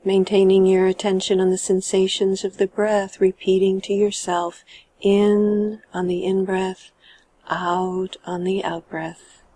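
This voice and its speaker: A woman guiding a meditation in a calm, measured and gentle manner, letting her sentence endings trail off. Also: mid-range voice, dry recording. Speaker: meditation guide. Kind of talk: guided meditation narration.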